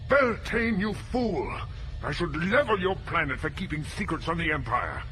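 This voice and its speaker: An actor playing a menacing, imperious Imperial commander in a space-opera audio drama, commanding and threatening in manner. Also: male voice, on the high side, big pitch swings, moderate pace, noisy background. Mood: furious. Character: imperious, menacing male Imperial dark-side executor commanding a fleet.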